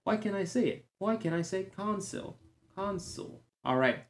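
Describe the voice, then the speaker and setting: A man's voice: high-pitched, lively intonation, moderate pace. A man hosting a fitness podcast, giving encouraging advice in a chatty way with joking tangents. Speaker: certified fitness trainer. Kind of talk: casual solo podcast talk. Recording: roomy echo.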